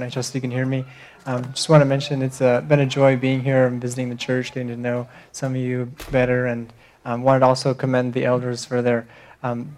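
A man's voice: medium pitch, a little mellow. A young man speaking as a visiting missionary at church, earnest and hesitant, with frequent ums and restarts. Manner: earnest, hesitant with many fillers.

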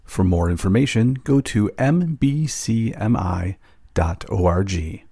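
Male announcer, very deep, dark, husky, slow measured reading. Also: animated, no echo, clean studio sound. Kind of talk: scripted recorded introduction.

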